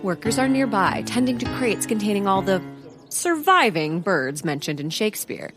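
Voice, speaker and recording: A woman's voice: medium pitch, big pitch swings, normal speed, natural voice. A woman reading scripted narration for a comedic audio drama, setting the scenes as a storyteller. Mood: announcing. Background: music.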